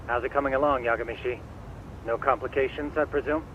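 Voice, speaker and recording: A man playing a calm, geeky tech ally in an English video game dub, with a measured, dryly polite manner. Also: mid-range voice, lo-fi sound, a little hiss or hum, natural voice. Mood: calm, curious. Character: calm, geeky male tech ally coaching over comms.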